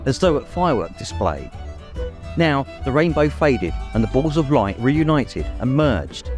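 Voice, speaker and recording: A man narrates an audiobook in an expressive, suspenseful storytelling style. His medium-pitched voice moves with lively intonation, and music plays behind it. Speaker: audiobook reader. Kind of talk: dramatic scripted story narration. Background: music, immersive production.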